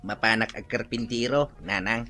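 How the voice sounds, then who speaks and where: A man's voice: mid-range voice, moderate pace, sharp tone, faint music behind. A man with a Filipino accent playing an easygoing, eager working husband in a radio comedy drama.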